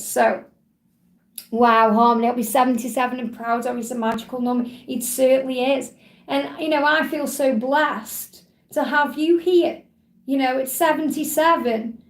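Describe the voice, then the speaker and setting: A woman in her forties chatting casually and upbeat as host of a live video, reading out viewer comments as she goes. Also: high voice. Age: 40s. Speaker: livestream host. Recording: echoey room.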